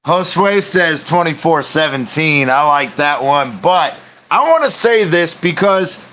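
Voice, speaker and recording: A man hosting a sports podcast, running the show with profane, quick banter. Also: fairly high pitch, expressive, pushed and bright. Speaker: podcast host. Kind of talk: rowdy sports chat.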